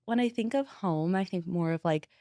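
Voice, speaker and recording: A woman's voice: fairly low pitch, moderate pace, warm tone, dry recording, clean studio sound. A woman in her twenties from Costa Rica, a podcast guest chatting casually and animatedly, with lots of filler "like". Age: 20s. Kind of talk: casual conversation.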